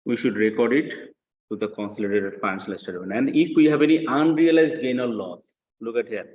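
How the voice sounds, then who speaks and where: A man's voice: mid-range voice, fairly quick, a little mellow, low-quality recording. A man lecturing in a didactic way, repeating key points and often checking with short questions. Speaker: accounting lecturer. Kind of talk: classroom lecture, explaining worked example.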